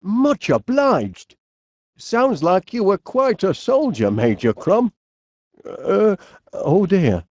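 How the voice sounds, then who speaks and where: Eccentric cartoon inventor, middle-aged, Northern English accent, hugely animated intonation, slow pace, cheerful, quaintly polite, dithering. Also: male voice, sits high, dry recording, studio quality, exaggerated voice. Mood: polite, hesitant. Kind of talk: cartoon video game voice acting.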